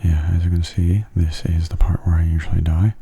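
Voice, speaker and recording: Guy doing asmr gaming commentary, hushed murmur close to mic, gravelly low end, barely moves in pitch, calm. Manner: calm, unhurried, musing aloud. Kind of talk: soft-spoken ASMR game commentary. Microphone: close microphone.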